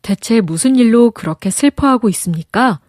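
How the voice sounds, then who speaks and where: A woman's voice: on the high side, lively intonation, normal speed, a little mellow, dry recording, studio quality. A woman voicing a courteous, earnest young prince in a storybook, speaking politely and formally. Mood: concerned. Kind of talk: storybook character voice.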